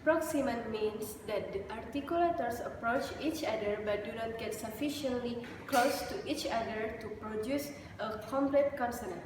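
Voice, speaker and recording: A woman's voice: on the high side, normal speed, hardly any pauses. A young Indonesian woman whose first language is Indonesian, speaking English with an Indonesian accent as a student presenter, explaining carefully with some hesitant fillers. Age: young adult. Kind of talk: class video presentation. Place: classroom, indoors. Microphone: camera mic from a few meters. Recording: echoey room, distant mic, noisy.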